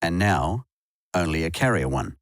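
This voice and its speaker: A man's voice: sits low, animated, normal speed, no echo. A man presenting a tutorial in scripted instructional narration, recorded as a close, dry voice-over. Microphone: close dry voice-over microphone.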